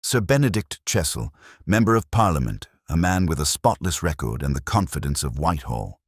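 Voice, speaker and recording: A man voicing a keen, commanding detective in an audiobook, speaking in formal, deliberate phrases. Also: a bass voice, very animated, breathy edge, no echo, clean studio sound, full character voice. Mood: analytical. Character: male master detective, keen, commanding and coolly analytical.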